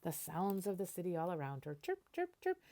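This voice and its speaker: A woman reading a children's picture book aloud in an animated way, stopping to explain and translate as she goes. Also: lowish voice, fairly quick.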